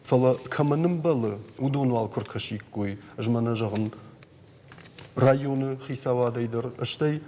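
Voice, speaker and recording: A man's voice: mid-range voice, moderate pace, warm tone. A man who is a native Ossetian speaker, chatting casually and unscripted. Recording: clear ambient background noise.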